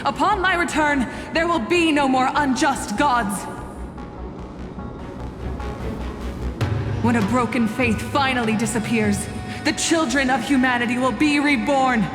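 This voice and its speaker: A woman playing a proud, resolute exiled warrior in a video game, speaking in a formal, measured, declamatory way. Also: high voice, natural voice. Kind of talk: scripted dramatic video game acting. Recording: echoey hall with long reverb, loud music.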